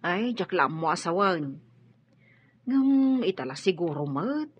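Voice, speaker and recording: An actress playing a gossipy, opinionated household helper in a radio drama, with a husky voice. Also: female voice, fairly low pitch, sing-song, slow, exaggerated voice. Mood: disapproving. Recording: muffled lo-fi radio drama with music.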